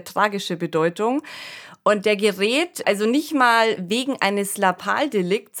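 A woman's voice: mid-range voice, a bit bright. A woman hosting a podcast in a conversational, discussing style. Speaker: podcast host.

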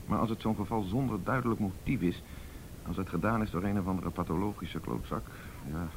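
Low-voiced, blunt police detective. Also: male voice, a little fast, slight background noise, rough recording. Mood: blunt, speculating. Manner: blunt, matter-of-fact. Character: blunt, world-weary male police detective briefing a colleague. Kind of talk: radio drama acting.

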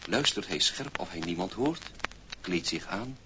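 A man's voice: low-pitched, animated, unhurried. A man with a Dutch accent giving theatrical, suspenseful scripted narration for a 1960s radio play. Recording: very noisy, dull old vinyl record.

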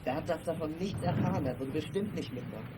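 A man's voice: on the high side, fast talker, lightly acted. A man playing a bossy, shady youth arranging secret deals in a children's radio play. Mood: bossy, secretive. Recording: distant voice under loud noise.

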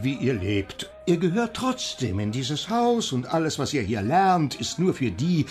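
An actor playing a stern, pious, middle-aged father who speaks emphatically in a radio drama. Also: medium pitch. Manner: emphatic, rhetorical, unyielding. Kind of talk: German radio drama acting.

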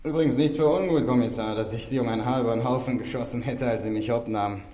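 An actor playing a cynical, sly convict in a 1960s radio play, drawling slangy lines with musing sighs and hums. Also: male voice, mid-range voice, fast talker, mellow, lightly acted. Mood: defiant, mocking. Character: cynical condemned male crook, sly and unrepentant.

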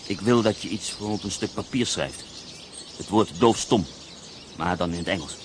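An actor playing an escaped German prisoner of war, calm and matter-of-fact as he lays out his plan. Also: sits low, moderate pace, background noise, close to an everyday voice. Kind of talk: Dutch radio play acting.

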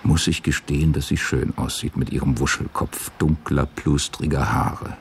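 Extremely deep, gravelly male narrator. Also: steady tone, normal speed, slight background noise. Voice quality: gravelly, husky. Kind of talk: scripted literary radio drama narration.

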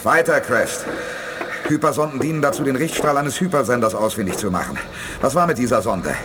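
A man acting as a commanding space leader in an eighties sci-fi radio drama. His mid-pitched voice swings with lively intonation and decisive authority, over faint background noise. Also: fairly quick, close to an everyday voice. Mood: urgent, commanding. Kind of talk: 1980s German science fiction radio play acting.

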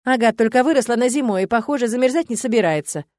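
A woman's voice: on the high side, very fast, a little mellow, no room sound, clean studio sound, voice pushed up, close to an everyday voice. A woman voicing a snarky, teasing young witch. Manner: snorting, teasing.

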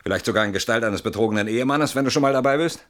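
An actor playing an anxious, defensive husband in a classic German radio play, agitated, stubborn and sardonic, with dramatic pauses and repeated words. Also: male voice, mid-range voice, a bit bright, close to an everyday voice. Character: anxious, defensive husband barricaded behind his door.

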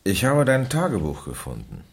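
An actor playing an authoritative, persuasive investigator in a radio crime drama, husky, deliberate and emphatic. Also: male voice, lowish voice, huge pitch swings, exaggerated voice. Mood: kindly probing. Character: authoritative, probing male investigator, measured and persuasive. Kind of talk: 1970s German radio crime drama acting.

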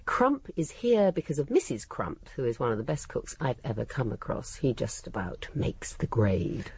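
An actress playing a shrewd, gossipy housekeeper in a radio drama, with an educated English accent and a poised, dry, cutting manner. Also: female voice, a bass voice, very animated, normal speed, darkish tone, slightly rough, exaggerated voice. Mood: cool, amused. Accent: English, educated upper-middle-class.

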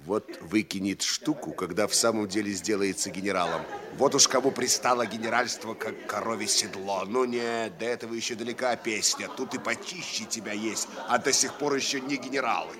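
An actor playing an envious provincial official in a theatrical radio play, muttering scornfully amid a gathering. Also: male voice, on the low side, brisk, few pauses, a crowd in the background, natural voice.

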